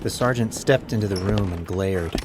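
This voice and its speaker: Male audiobook narrator, low register, dramatic scripted delivery over clearly audible ambient noise. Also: normal speed.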